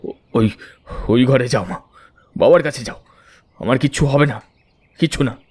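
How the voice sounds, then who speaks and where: A man playing a possessed village son in an audio horror drama, speaking in a strange, halting, repetitive and insistent way. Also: mid-range voice, a little mellow, close to an everyday voice. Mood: eerie insistence. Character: possessed village son, eerily insistent, reassuring his mother. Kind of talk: audio horror story acting.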